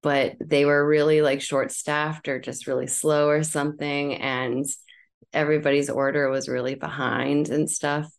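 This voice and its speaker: A woman with a low, gravelly voice chats as a podcast co-host. She tells stories in a loose, joking way and swears freely. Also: flat delivery, moderate pace. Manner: profane, joking. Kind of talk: casual chat and comic storytelling.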